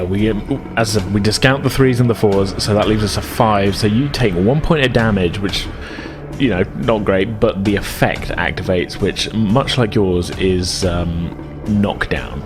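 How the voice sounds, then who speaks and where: Low-voiced man running a tabletop game. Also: measured pace, music in the background. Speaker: game master. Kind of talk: improvised tabletop game narration.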